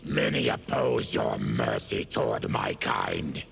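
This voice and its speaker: An actor playing a bitter, suffering mutant warrior in a video game, with a guttural, gravelly voice and solemn, deliberate, formal delivery. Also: male voice, extremely low pitch, fairly bright tone, no echo, exaggerated voice. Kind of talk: dramatic video game acting.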